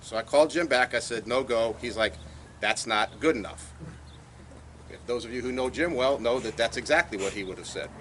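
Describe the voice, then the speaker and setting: A man's voice: mid-range voice, fairly bright tone, slight background noise. A man giving a speech at an outdoor dedication, telling a story and acting out phone calls. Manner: anecdotal, acts out phone calls in quotes. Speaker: speaker at a tower dedication. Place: outdoors at a radio club site.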